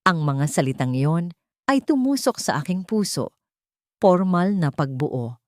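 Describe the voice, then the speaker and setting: Woman, dramatic story narrator, slightly low pitch, measured, emotive, solemn, dry close recording. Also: big pitch swings, a little mellow, dry recording.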